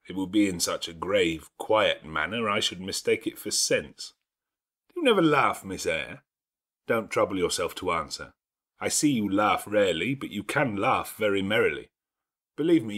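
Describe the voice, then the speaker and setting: A man voicing a brooding, domineering, teasing middle-aged gentleman in a classic novel, speaking in a grandiloquent, sardonic and emphatic way. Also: fairly low pitch. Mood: teasing, probing. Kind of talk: dramatized classic novel audiobook reading.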